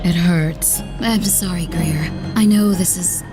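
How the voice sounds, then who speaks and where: A woman's voice: lowish voice, slow pace. A woman voicing a troubled, earnest ally of a vigilante in a comic dub, speaking hesitantly. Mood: sad, hurt. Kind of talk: dramatic comic dub acting. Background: background music.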